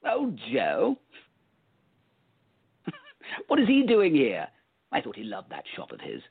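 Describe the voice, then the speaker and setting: A man playing a pompous, jovial, sly gentleman in a radio play, speaking in an affected, genteel, condescending way. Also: fairly high pitch, wildly swooping pitch, slow pace, creaky, slightly rough, no room sound, exaggerated voice. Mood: mock innocence. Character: pompous, jovial, sly gentleman time traveler, full of himself. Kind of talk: hobby radio play acting. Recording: dull lo-fi audio.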